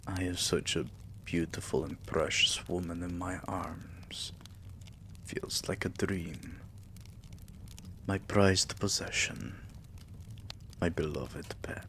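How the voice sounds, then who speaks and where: Man acting a deep, slow, tender vampire king. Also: a little hiss or hum, exaggerated voice. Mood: adoring, soothing. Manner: soft, breathy, courtly endearments. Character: tender, possessive male vampire king doting on his human pet. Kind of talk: intimate roleplay ASMR, often dropping to a whisper.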